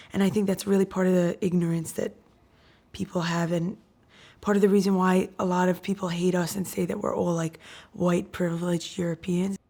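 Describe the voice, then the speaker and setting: A woman's voice: lowish voice, dark tone. A young woman, a first-generation college student, speaking casually in a documentary interview with many "like" fillers. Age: young adult.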